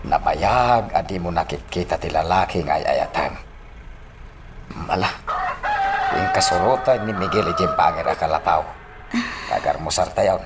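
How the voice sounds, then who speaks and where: Deep, animated older Ilocano father. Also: unhurried, long pauses, background noise. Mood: concerned. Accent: Filipino, Ilocano. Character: older rural father, caring and probing. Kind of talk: radio drama acting. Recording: very loud background music.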